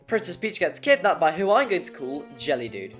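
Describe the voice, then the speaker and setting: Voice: medium pitch, big pitch swings, fairly quick, a bit bright. Informal video essay narrator over music. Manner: informal, jokey, rambling asides. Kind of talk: scripted video essay narration with casual asides. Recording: music under the voice. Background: background music.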